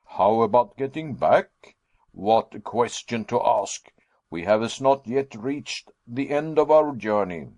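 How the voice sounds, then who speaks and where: Man voicing a cheerful older male professor, booming, brisk, lifted pitch, dry close sound. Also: lively intonation, darkish tone, dry recording, lightly acted. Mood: jovial. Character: jovial excitable elderly male professor explorer.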